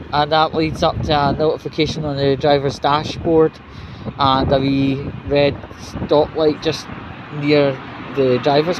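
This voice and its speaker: A Scottish man rambling casually as he vlogs outdoors, sweary and irreverent, with halting pauses. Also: a little high, highs cut off. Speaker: vlogger. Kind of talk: rambling casual walking vlog, chatty. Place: outdoors on a city street. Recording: noisy outdoor street with traffic.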